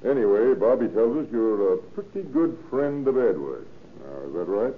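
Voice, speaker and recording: An actor playing a steady, probing police inspector in a 1930s radio drama, matter-of-fact and slightly patronizing, with an American accent. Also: male voice, mid-range voice, normal speed, lightly acted. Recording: muffled, faintly noisy 1930s radio recording.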